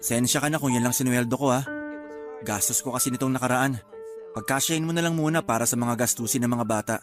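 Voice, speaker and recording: A man's voice: medium pitch, steady tone, fast talker, music in the background, lightly acted. A man playing an evasive, self-justifying husband in a radio drama, defensive and pleading.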